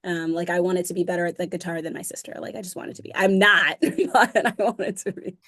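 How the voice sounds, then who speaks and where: A woman's voice: on the low side, steady tone, fairly quick, fairly bright tone. A young woman being interviewed about her music, talking casually with many fillers and frequent laughs. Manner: chatty, fillers of um and like, laughs often. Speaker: interview guest, singer.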